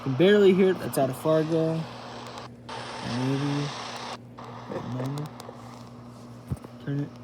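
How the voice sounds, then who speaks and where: A man giving a casual hands-on product review, thinking aloud in a halting way as he demonstrates. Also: fairly high pitch. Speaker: amateur gadget reviewer. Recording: noisy homemade recording with radio static.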